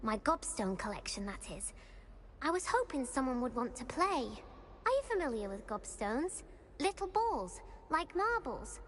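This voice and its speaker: A woman's voice: high voice, sing-song, normal speed, a bit bright. A woman playing a self-pitying, indignant schoolgirl in a video game, with a theatrical, aggrieved delivery. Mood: plaintive, earnest. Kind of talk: video game character dialogue. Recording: long hall reverb, faint noise.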